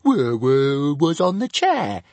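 A man's voice: fairly high pitch, huge pitch swings, takes it slowly, a little mellow, no room sound, pitched above the performer's own voice, heavily acted. A man doing a stammering little dragon. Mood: sniffy. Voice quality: stutter. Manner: whiny, evasive. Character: small stammering sly young male dragon.

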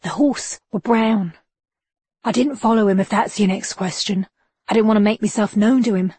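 A woman's voice: mid-range voice, normal speed, a little mellow, no room sound, natural voice. A woman voicing Billy the Bolter, a shifty male Victorian street informant with a slangy voice, in an audiobook. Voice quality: rough slangy street talk. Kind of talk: audiobook character voice.

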